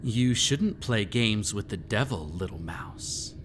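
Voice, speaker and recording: Male actor as centuries-old haunted estate master, male, sly and menacing, theatrical teasing, very lively intonation, unhurried delivery, audio roleplay. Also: lowish voice, a little hiss or hum. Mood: sly, sinister. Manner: teasing, taunting, theatrical, with frequent sly chuckles. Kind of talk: dramatic roleplay audio performance.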